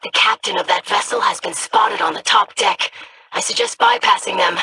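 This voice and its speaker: Calm precise tactical advisor, animated delivery, hissy recording. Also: female voice, mid-range voice, moderate pace. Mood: composed. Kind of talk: video game voice acting.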